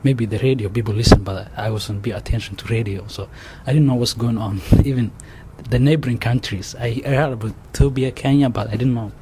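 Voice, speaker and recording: A young man with a Somali accent, telling his story in an interview, halting and full of restarts and fillers. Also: medium pitch, steady tone. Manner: halting, many restarts and "you know" fillers. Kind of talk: oral history interview. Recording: faint background noise.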